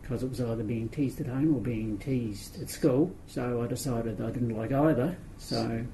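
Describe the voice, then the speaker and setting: A man from Scotland with a New Zealand accent, speaking in a recorded interview. His voice is dark and gravelly, and he recalls his past in a reflective, unhurried way, over a faint hiss. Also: medium pitch, rough recording. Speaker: interviewee in an archive clip. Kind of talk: recorded oral history interview.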